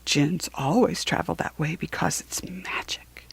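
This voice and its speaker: A woman reading children's stories and verse aloud as a storyteller, in an expressive, deliberate manner. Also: deep voice, vocal fry, breathy edge, dry recording, whispered.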